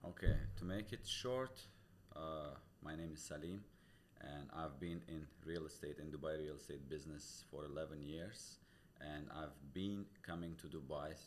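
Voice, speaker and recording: Very deep-voiced man, earnest, faint hiss. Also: moderate pace. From Tajikistan. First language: Pamir dialect. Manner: earnest, explains step by step with many restarts. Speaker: real estate broker, guest. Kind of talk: casual interview talk. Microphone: studio podcast mic.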